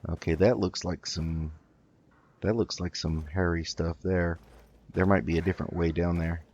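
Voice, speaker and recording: A man doing casual game commentary, thinking aloud in an unhurried, musing way as he roleplays. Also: sits low, fairly even intonation, darkish tone, lo-fi sound. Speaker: gaming host.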